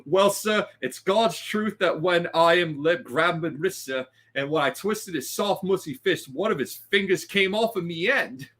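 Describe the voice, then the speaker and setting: A man's voice: high voice, lively intonation, unhurried, hardly any pauses, a bit bright, heavily acted. A man voicing a young, deferential but scrappy Cockney bellboy who talks in ungrammatical, indignant bursts in a read-aloud story. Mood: uneasy, earnest. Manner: ungrammatical, peppered with respectful address.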